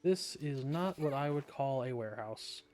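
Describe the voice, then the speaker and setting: A man's voice: medium pitch, animated, normal speed, a little mellow. A man streaming a video game, giving casual commentary with wry, joking asides.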